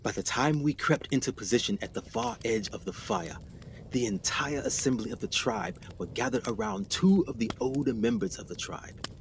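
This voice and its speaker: A man's voice: mid-range voice, big pitch swings, gravelly, a little hiss or hum. A man playing a haunted, guilt-ridden explorer doctor in a radio play, telling his story with theatrical intensity. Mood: grim, harrowed. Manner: theatrical, intense, halting delivery.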